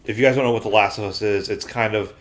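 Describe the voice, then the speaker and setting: Male American podcast host, lower register, casual conversational delivery. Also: steady tone, quick pace. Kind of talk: casual panel chat.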